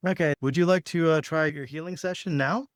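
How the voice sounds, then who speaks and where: Male spiritual podcast host and healer: smooth, clean voice, dry sound, easygoing conversational delivery. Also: on the high side, no room sound. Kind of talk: casual spiritual talk, guiding a healing session.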